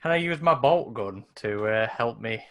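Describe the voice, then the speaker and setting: A man's voice: mid-range voice, lively intonation, measured pace. A man talking casually as a player in a tabletop roleplaying game.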